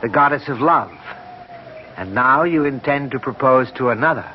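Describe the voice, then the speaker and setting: An actor playing a sardonic friend and rival in a radio drama, measured, ironic and insinuating. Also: male voice, medium pitch, animated, close to an everyday voice. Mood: sardonic, taunting. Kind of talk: 1970s radio drama acting. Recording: muffled, noisy 1970s radio broadcast.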